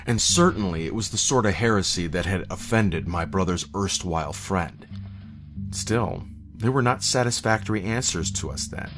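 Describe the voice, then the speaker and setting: A man narrates a scripted horror story in a fairly low voice. His delivery is measured and literary. Soft, eerie music runs faintly behind him.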